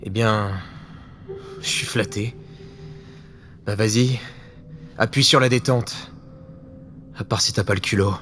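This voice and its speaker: A man's voice: lowish voice, steady tone, slow pace, long pauses, a bit bright. Man playing a defiant secret agent, faint noise behind. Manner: terse, defiant. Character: defiant, wary male secret service agent. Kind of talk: French audio drama acting.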